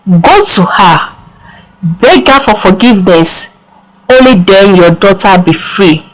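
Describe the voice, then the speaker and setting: A woman's voice: medium pitch, lively intonation, slow pace, low-quality recording. A woman voicing a solemn old male village seer in a folktale, with grave pronouncements.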